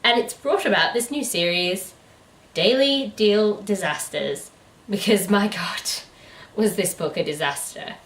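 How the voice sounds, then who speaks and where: A woman's voice: mid-range voice, unhurried, fairly bright tone, distant mic. Woman chatting in an echoey room. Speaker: book review channel co-host. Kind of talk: casual chatty book review. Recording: echoey room, away from the mic.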